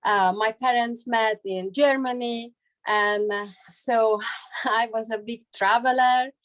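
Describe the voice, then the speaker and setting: A woman from Hungary in her thirties, a native Hungarian speaker and translator, sharing a personal story on a video call, halting and laughing often. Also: fairly high pitch, fairly even intonation, fairly bright tone.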